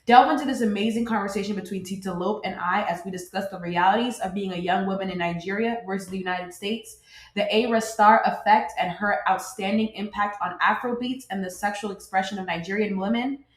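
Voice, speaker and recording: A woman's voice: medium pitch, fairly quick. A woman hosting a podcast interview, talking with enthusiasm and praising her guest. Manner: enthusiastic, upbeat. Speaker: podcast host. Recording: roomy echo.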